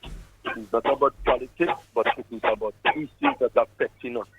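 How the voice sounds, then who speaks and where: A man's voice: mid-range voice, fairly even intonation, a little fast, a bit bright, slight background noise. A man with a Grenadian accent calling in by phone to make an announcement to listeners. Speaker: caller. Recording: thin narrowband phone line.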